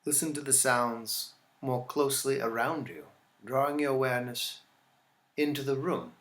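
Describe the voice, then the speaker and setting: Man calmly guiding a relaxation meditation. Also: medium pitch. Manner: calm, instructional, with deliberate pauses. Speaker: yoga nidra teacher guiding a session.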